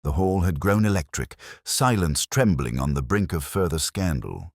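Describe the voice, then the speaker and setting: Really deep guy reading a mystery story, measured and formal, lively intonation, dry close sound. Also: no echo. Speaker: narrator. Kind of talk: scripted mystery audiobook narration.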